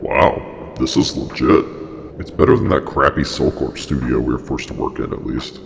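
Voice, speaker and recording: A profoundly deep, crackling service unit talks through a long reverb. It is self-important and falsely considerate, a schemer with a swooping delivery in an analog horror web series. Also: male voice, level tone, normal speed. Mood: breezy, dismissive. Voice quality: very deep, creaky, breaking. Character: smug, scheming service unit angling for promotion. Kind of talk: in-character mock interview. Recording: long reverb, faint music.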